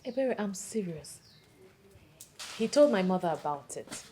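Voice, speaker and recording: A woman's voice: medium pitch, big pitch swings, unhurried, mellow. A woman playing a defiant, plain-spoken young village woman with a Nigerian accent in a film drama, speaking emphatically and indignantly. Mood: exasperated. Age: young adult. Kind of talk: naturalistic film drama dialogue.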